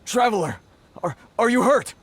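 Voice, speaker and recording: A man's voice: very high voice, expressive, normal speed. An actor playing a grateful male merchant who talks earnestly and stammers in a video game.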